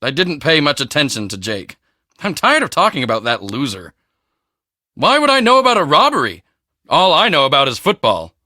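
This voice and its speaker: Cocky, high-pitched teen football jock. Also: male voice, wildly swooping pitch, normal speed, bright, forceful tone, dry recording, clean studio sound, exaggerated voice. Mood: guarded, dismissive. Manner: swaggering, self-important, emphatic. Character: cocky, boastful teenage high school football star. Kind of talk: video game character dialogue.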